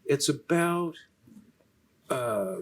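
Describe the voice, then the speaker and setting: A soft-spoken man, lecturing and answering questions in a halting way, with many restarts. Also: mid-range voice, animated, slight background noise. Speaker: featured lecturer in a Q&A. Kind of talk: unscripted lecture conversation.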